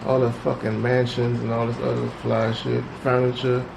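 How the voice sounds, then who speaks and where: A man's voice: medium pitch, completely flat delivery, moderate pace, creaky. A man in a casual podcast chat, talking in a laid-back way and often saying "you know what I'm saying". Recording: echoey room with loud background noise.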